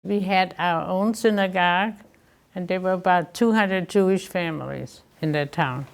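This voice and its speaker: Slow, gravelly woman in her nineties. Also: fairly low pitch. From Germany. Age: 90s. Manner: slow, deliberate recollection. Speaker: Holocaust survivor interviewee. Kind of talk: recounting childhood memories. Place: indoor interview set with photo wall.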